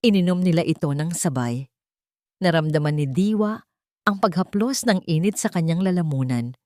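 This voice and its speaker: A woman narrator with a Filipino accent tells a dramatic story. Her voice sits fairly low for a woman, and the recording is close and dry, with very little room in it. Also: moderate pace, darkish tone, no room sound.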